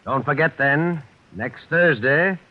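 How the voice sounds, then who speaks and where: A man making measured, formal announcements in 1940s radio style. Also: on the high side. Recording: phone-like vintage radio broadcast.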